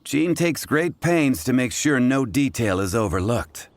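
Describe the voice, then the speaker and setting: A wry, blunt tavern bartender. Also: male voice, mid-range voice, animated, a little slow, slight background noise. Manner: teasing, wry, matter-of-fact. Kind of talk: game voice acting. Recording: game audio with music behind.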